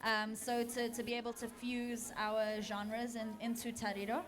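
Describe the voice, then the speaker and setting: A woman's voice: a little high, little pitch movement, unhurried. A woman from Zimbabwe, a singer being interviewed on radio, talking earnestly with many ums and restarts. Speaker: singer guest. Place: radio studio. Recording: radio studio with music behind.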